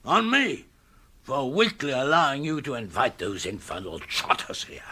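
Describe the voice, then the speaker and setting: Husky, peevish old English uncle. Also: male voice, mid-range voice, wildly swooping pitch, moderate pace, sharp tone, exaggerated voice. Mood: agitated, indignant. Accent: upper-class English. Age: older. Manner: grumbling, agitated, emphatic. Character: peevish, exasperated older upper-class English uncle. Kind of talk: radio comedy drama acting.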